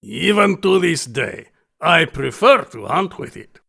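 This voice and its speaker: Gravelly, grandiose alien hunting aristocrat. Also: male voice, a little high, very animated, pushed and bright, full character voice. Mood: sly, menacing. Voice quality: gravelly, raspy. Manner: florid, theatrical, deliberate. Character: alien aristocratic hunting fanatic, obsessive, grandiose, menacing male host. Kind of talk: audio drama villain acting.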